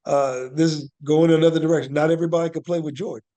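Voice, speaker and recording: An American man with a fairly high voice talks as an interview guest. He tells his stories conversationally and with animation, leaning on key points and checking that he is being followed. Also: steady tone, fast, mellow. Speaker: guest, actor and playwright.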